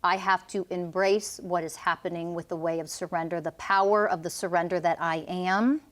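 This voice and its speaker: A woman's voice: lowish voice, fairly even intonation, normal speed, a bit bright. A woman energy healer guiding a client through a healing session, commanding and intense, with blunt swearing.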